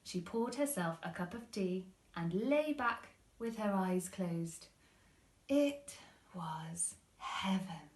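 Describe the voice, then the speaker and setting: A woman reading a children's story expressively, with deliberate phrasing, in a roomy-sounding recording. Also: fairly low pitch, expressive. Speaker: primary school teacher reading stories.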